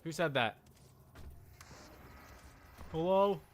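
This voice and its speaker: Higher-voiced man streaming a video game. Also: expressive, extremely slow, slight background noise. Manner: offhand, mutters to himself, playfully taunts enemies. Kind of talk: casual live gameplay commentary.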